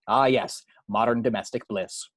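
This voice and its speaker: A man's voice: mid-range voice, moderate pace, no room sound, clean studio sound. A man reading out the action description of a screenplay as a scripted narrator.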